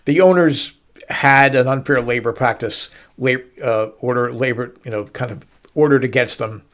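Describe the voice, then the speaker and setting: A middle-aged man hosting a baseball show, telling a story to listeners with emphatic, dramatic pauses and stretched-out words. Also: mid-range voice, a bit bright. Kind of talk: storytelling sports commentary to listeners.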